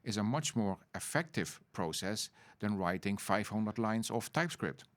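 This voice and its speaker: A middle-aged man, co-hosting an informal podcast and talking in halting bursts full of restarts and tag questions. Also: on the low side, expressive. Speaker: podcast co-host. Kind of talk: informal unscripted conversation.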